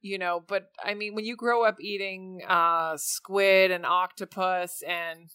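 A woman who grew up in Spain, a podcast guest telling animated stories with lots of fillers. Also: medium pitch, unhurried, clear, resonant tone. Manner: animated storyteller, frequent fillers. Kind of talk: casual conversational interview.

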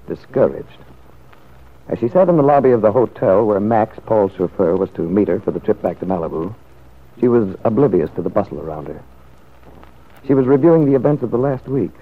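A male narrator of a 1940s-style radio serial, reading scripted story. His voice is low and slightly rough, and the recording is muffled and narrow like an old broadcast. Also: expressive, normal speed, long pauses.

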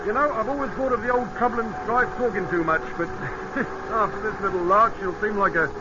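An actor playing a chirpy, loyal Cockney army NCO, slangy but respectful, in old-time radio drama style. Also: male voice, sits high, fairly quick, lightly acted. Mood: chirpy, joking. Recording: dull, very noisy vintage radio broadcast.

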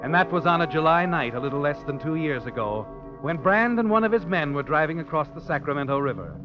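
An actor playing a mysterious, all-knowing storyteller, narrating suspense in an ominous, dramatic 1940s radio style. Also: male voice, on the high side, expressive, fast talker, lightly acted. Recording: muffled old radio recording with music.

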